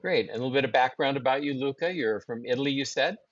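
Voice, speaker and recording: A man hosting a business webinar, introducing his guest in a halting way with many fillers. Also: medium pitch, no echo. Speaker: webinar host, company founder. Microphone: video call. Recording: dry, dull web call audio.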